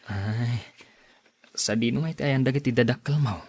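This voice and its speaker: A man's voice: mid-range voice, normal speed, darkish tone, husky. A man acting an earnest, courteous young suitor in a radio drama, with an Ilocano accent. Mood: courting, friendly.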